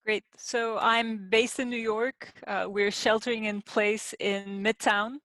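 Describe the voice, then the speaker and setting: A woman, an industrial designer answering as a podcast guest, explaining her work in a measured, thoughtful way with pauses. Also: medium pitch, steady tone, fairly bright tone, no echo, low-quality recording. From Turkey. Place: home in the city, sheltering in place.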